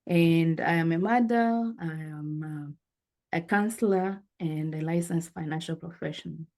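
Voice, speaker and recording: A woman's voice: sits low, a little mellow. A woman in her forties from Rwanda, telling her life story as an interview guest in an earnest, reflective way. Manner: earnest, reflective, pausing with fillers.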